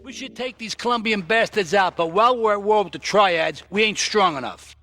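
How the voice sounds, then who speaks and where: A man playing an older mob boss in a game cutscene, gruff and commanding. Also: high-pitched, fairly quick, sharp tone, soft background music. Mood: grave. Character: older male mob boss, bossy and crude. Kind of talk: video game cutscene acting.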